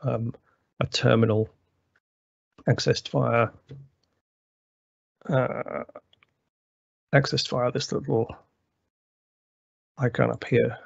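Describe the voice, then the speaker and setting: A man with a gravelly voice presenting a technical webinar walkthrough, rambling with many fillers and restarts. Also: medium pitch, level tone, very slow, long pauses, no room sound. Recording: dry, slightly muffled webinar audio.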